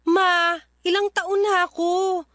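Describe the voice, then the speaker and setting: An actress playing an earnest, stubborn young adult Filipino daughter in a radio drama, with a pleading manner. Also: female voice, extremely high pitch, flat delivery, slow, a bit bright, no room sound, studio quality, exaggerated voice. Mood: pleading, defiant.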